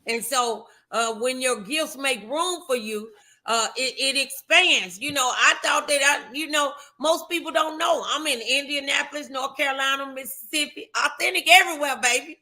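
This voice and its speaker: A woman's voice: sits high, moderate pace, sharp tone. A woman hosting a motivational morning show, speaking in a fervent, affectionate, preacher-like way and moving between casual talk and prayer. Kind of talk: inspirational talk and prayer, conversational.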